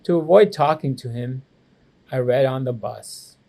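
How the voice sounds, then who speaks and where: A man reading a children's storybook aloud to students with expressive, clear phrasing, like a school educator. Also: medium pitch, a little slow. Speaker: assistant principal reading to students.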